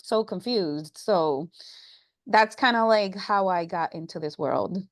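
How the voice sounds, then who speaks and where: A woman's voice: fairly low pitch, takes it slowly, no echo. A woman from Puerto Rico, a guest health coach, talking casually with many fillers. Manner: chatty, many fillers like "you know" and "um". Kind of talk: casual interview.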